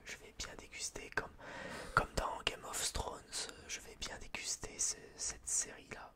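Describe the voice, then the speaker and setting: A person with a French accent whispering in a breathy, unvoiced voice, chatting casually and haltingly in a rambling, self-deprecating relaxation vlog. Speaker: video creator reviewing film purchases.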